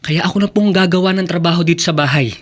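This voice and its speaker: Devoted young Filipino son, higher-pitched voice. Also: male voice, very fast. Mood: caring. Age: young adult. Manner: tender, respectful, earnest. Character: devoted, earnest student son, caring and anxious. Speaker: actor in a drama. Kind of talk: Filipino radio drama acting.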